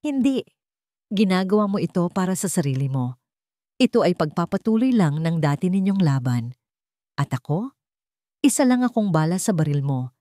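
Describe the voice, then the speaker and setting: A woman's voice: lowish voice, big pitch swings, moderate pace, pauses often, warm tone, no echo, studio quality. A woman voicing a wounded, furious young daughter in a dramatic audio story, bitter and accusing. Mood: hurt, bitter. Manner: bitter, accusing, trembling with anger and grief.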